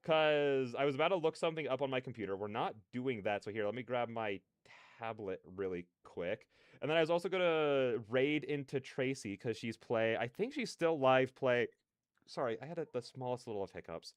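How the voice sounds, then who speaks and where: A man's voice: mid-range voice, a little fast, clean tone, no echo, clean studio sound. A man hosting a livestream, chatting casually in a rambling, slangy, digressive way. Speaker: livestreamer hosting a reaction stream.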